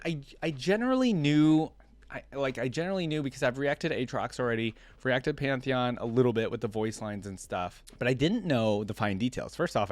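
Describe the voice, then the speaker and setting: A man hosting a reaction video, switching between reading aloud and casual, rambling commentary as he thinks out loud. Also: mid-range voice, no room sound.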